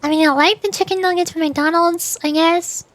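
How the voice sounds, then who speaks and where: Female VTuber streamer, high voice, casual rambling chat with hesitant repeats, very dry sound. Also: fairly even intonation, fairly bright tone, dry recording. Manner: hesitant, halting, repeats words.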